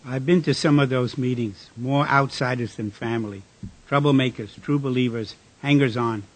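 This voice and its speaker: Worn-down crusader for audio play, male actor very husky, bitter, weary, given to rhetorical pronouncements. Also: medium pitch, steady tone, normal speed, close to an everyday voice, poor audio. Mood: guarded, bitter. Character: Francis, bitter, disillusioned male engineer worn down by fighting. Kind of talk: radio drama acting.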